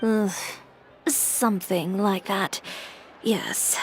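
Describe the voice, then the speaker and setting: A woman's voice: mid-range voice, steady tone, drawn-out words. A cool self-assured young woman designer for a game, dry and composed, music playing behind. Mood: dry, unimpressed. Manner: composed, dry, matter-of-fact. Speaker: video game voice acting. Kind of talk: scripted game dialogue.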